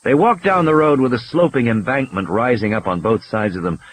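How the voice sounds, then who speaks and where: A man's voice: mid-range voice, a little fast, a little hiss or hum, poor audio. A man reading scripted audiobook narration, with ambience and sound effects behind him.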